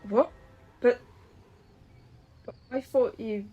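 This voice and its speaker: An actress playing a frightened, guilt-ridden young woman in an audio drama, speaking in halting, broken-off phrases. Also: a little high, dull audio. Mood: distressed, pleading. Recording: music behind.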